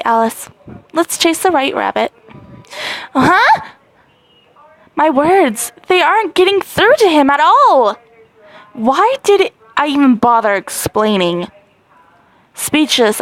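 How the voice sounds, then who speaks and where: Excitable high-voiced woman reading a game. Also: low-quality recording. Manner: excitable, dramatic, with squeaky rises. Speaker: gaming channel host. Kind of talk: reading a story game aloud.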